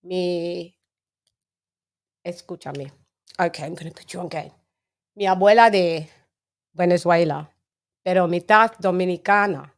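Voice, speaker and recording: A woman's voice: lowish voice, unhurried, pauses often. A woman with an English accent hosting a casual live chat, laughing often and switching between English and Spanish. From England. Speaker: livestream host.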